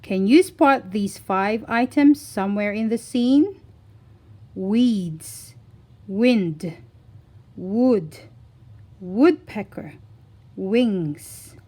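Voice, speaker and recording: Very slow-paced woman teaching phonics. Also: medium pitch, animated, mellow. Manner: deliberate, instructional, enunciating single words. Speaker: children's phonics teacher and reader. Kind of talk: scripted read-aloud phonics lesson.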